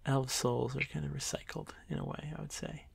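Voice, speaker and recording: Gravelly, shy monster boy, acted by a man. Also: medium pitch, steady tone, a little fast, no room sound, full character voice. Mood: hesitant, wistful. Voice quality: gravelly, creaky. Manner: hesitant, stammering, soft-spoken, often dropping to whisper. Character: shy, nervous young male drider, lonely and apologetic. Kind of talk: ASMR fantasy roleplay addressed to the listener.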